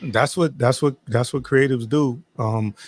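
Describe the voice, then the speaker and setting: A man's voice: medium pitch, measured pace, a little mellow. A man hosting an interview, asking long, rambling questions with many restarts. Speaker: podcast host.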